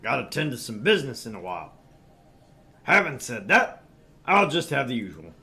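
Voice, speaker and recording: A man's voice: medium pitch, sing-song, normal speed, sharp tone. A man voicing a brash, crude, sleazy middle-aged tabloid editor in a visual novel read-through, with a pompous, pushy manner. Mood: dismissive, brisk.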